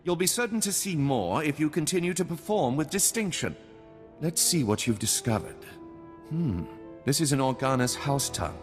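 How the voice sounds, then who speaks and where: A man's voice: a little high, lively intonation, lightly acted. A man playing a formal baron in a reverberant hall. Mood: cool, businesslike. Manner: formal, measured, aristocratic. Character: shrewd, formal nobleman baron, cool and calculating. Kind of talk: video game dialogue acting. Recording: long hall reverb, music behind.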